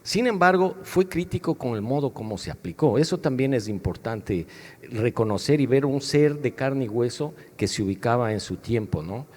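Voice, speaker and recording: A man speaking as a panelist in a discussion at a book fair, reflective and measured as he builds his questions. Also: medium pitch, lively intonation, a little mellow. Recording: echoey hall sound.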